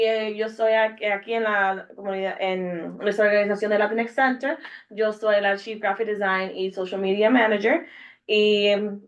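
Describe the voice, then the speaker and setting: A young woman introducing herself casually on a podcast, hesitant and full of fillers and restarts. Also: medium pitch. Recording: dull lo-fi podcast audio.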